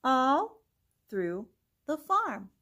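A young woman reads a picture book aloud for little kids. Her voice sits fairly high and swings widely in pitch. She takes it very slowly, with exaggerated delivery. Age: young adult. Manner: animated, slow, exaggerated delivery for small children. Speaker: children's read-along host. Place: small indoor room with bookshelf.

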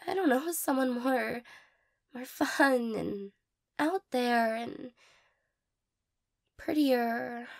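A young woman playing a shy, insecure, tearful girl in an intimate romantic audio roleplay, hesitant, stammering and apologetic, with a breathy, creaky voice. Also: on the high side, drawn-out words, long pauses, exaggerated voice. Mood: self-doubting, hesitant.

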